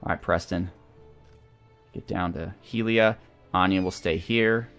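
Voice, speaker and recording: Male let's play host, fairly low voice, casual thinking-aloud commentary, faint game music underneath. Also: fairly even intonation, a little slow, a bit bright, smooth.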